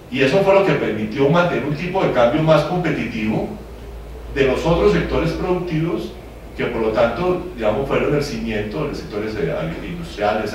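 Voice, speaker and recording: Male forum panelist, halting delivery full of fillers, heard from a distance in a very echoey hall. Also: mid-range voice, slight background noise. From Venezuela. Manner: hesitant, many fillers and restarts. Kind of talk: public policy talk. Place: conference hall.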